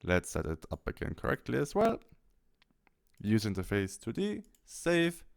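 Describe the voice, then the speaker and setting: A man narrating a software tutorial, explaining things step by step. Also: medium pitch, wildly swooping pitch, a little slow, gravelly. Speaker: tutorial host. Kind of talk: software tutorial narration over screen recording.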